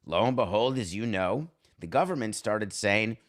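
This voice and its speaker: A man's voice: fairly low pitch, animated, moderate pace. Emphatic, sardonic man hosting sports talk. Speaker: sports talk podcast host. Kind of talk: opinionated solo sports commentary.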